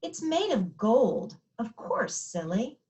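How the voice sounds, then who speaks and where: A woman's voice: on the high side, sing-song, takes it slowly, a little mellow, full character voice. A woman playing a sweet, matter-of-fact little princess in a storybook readers theater, speaking with childlike certainty. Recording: slightly dull, muffled sound.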